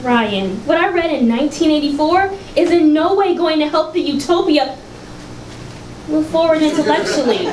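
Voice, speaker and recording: Stage play reading, echoey: actress as high-voiced female future researcher, prim, precise, anxious rule-follower. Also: lively intonation, moderate pace, recorded from a distance, crowd noise. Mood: earnest, anxious. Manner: precise, formal, didactic. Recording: echoey, noisy live room recording.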